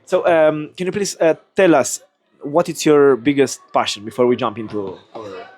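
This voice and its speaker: A man's voice: mid-range voice, moderate pace, darkish tone. A man interviewing someone, asking questions hesitantly with many fillers.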